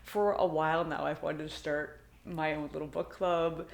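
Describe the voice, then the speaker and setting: Chatty woman, lowish voice, podcast book club member, echoey home room. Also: fairly even intonation, moderate pace, creaky. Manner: chatty, laughs often. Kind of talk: casual chat and solo recap. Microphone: computer mic on video call. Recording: roomy echo.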